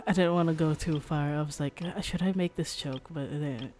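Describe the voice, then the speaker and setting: A woman's voice: deep voice, normal speed, dark tone. A woman chatting casually on a livestream as she plays a game, with a playful, giggly manner. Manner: giggly, playful, prone to breaking into laughter. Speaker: streamer playing a game and chatting with viewers. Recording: game music faintly behind the voice. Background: game music.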